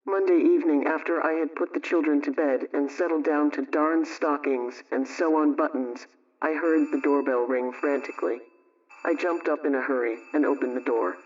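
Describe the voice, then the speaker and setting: A woman playing a brisk, motherly homemaking adviser, telling a scripted radio story in an emphatic, instructive way. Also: deep voice, a little mellow, recorded from a distance, highs cut off, lightly acted.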